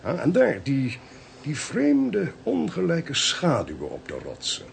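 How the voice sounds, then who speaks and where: A shrewd police chief in a Dutch radio play. His delivery swoops dramatically up and down, with a gravelly creak in it. He is deliberate, wry and theatrical, over a faint hiss. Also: on the high side, wildly swooping pitch, full character voice. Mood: triumphant, explanatory. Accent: Dutch (Netherlands).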